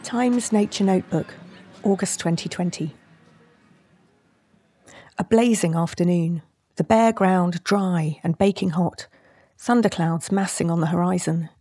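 A British woman reads nature writing aloud. Her voice is mellow with a husky edge, and the sound is dry, free of any room. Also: fairly low pitch, a little slow, pauses often, no room sound. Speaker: nature writer and podcast host. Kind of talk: reading a nature essay.

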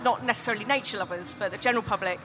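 A woman's voice: mid-range voice, racing, pushed and bright, faint music behind. A British woman, a nature writer, talking informally in a live on-stage conversation. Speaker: nature writer and podcast host. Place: festival stage.

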